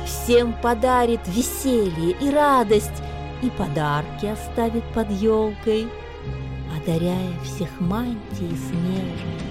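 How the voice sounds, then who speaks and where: An actress playing a gentle storyteller of a magical winter night in a radio play, reciting verse slowly over music. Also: female voice, mid-range voice, close to an everyday voice. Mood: tender, festive.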